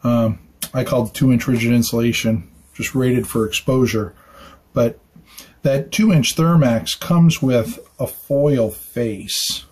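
A man in his fifties explains a building detail in a relaxed, chatty way. He thinks out loud and tosses in "right?" as he goes. His voice is mid-pitched with a husky edge, recorded in a small curtained room. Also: slow pace. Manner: chatty and informal, thinking aloud with fillers and tag questions. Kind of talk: casual technical explainer.

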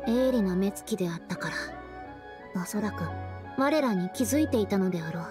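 A woman's voice: medium pitch, lively intonation, fairly quick, music in the background, close to an everyday voice. A woman voicing a solemn young youkai girl who speaks in a formal, archaic way, in an anime-style game. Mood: solemn, explaining. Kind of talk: Japanese anime-style game dialogue and narration.

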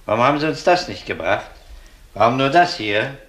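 An actor playing a shrewd, methodical middle-aged police detective in a German crime radio play, measured, probing and courteous. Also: male voice, mid-range voice, lively intonation, background noise, lightly acted. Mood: accusing.

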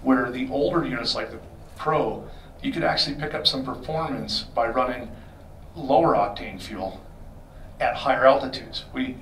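A man's voice: mid-range voice, normal speed, a bit bright, a little hiss or hum. A middle-aged man answering audience questions about engines in a conversational, explanatory way, speaking into a handheld mic in a hotel meeting room. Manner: explanatory, conversational, self-correcting. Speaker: performance shop expert. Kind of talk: technical Q&A talk. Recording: roomy sound from a distant camera.

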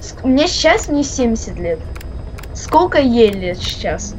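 A woman's voice: fairly high pitch, big pitch swings, unhurried, a little hiss or hum, poor audio. A woman chatting casually during a game, teasing and insistent, repeating herself. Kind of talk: casual chat while gaming.

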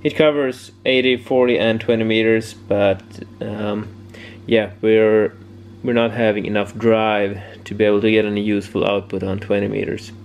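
A man giving a casual, unscripted hobby demonstration, haltingly searching for words, in a home workshop. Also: fairly low pitch, flat delivery. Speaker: amateur radio hobbyist presenting homebrew project. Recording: clear background noise, room ambience.

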